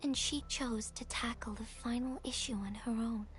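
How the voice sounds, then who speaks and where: A woman playing a gentle, wistful young courier in a video game, speaking softly and breathily with a tender, reflective manner. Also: a little high, steady tone, normal speed, a little hiss or hum, close to an everyday voice. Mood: somber, sympathetic. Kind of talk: video game story dialogue.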